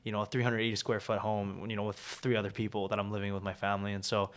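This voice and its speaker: A young man from South Korea, a podcast guest, talking in a relaxed way with lots of "like" and "um" fillers. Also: fairly low pitch, fast, clear, resonant tone. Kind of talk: casual interview talk.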